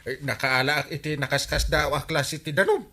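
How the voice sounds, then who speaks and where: An actor playing a naive, timid, excitable husband in an Ilocano radio comedy drama, stammering and exclaiming as he goes. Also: on the high side, a little fast. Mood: eager, naive. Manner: stammering, exclaiming, stalling with interjections.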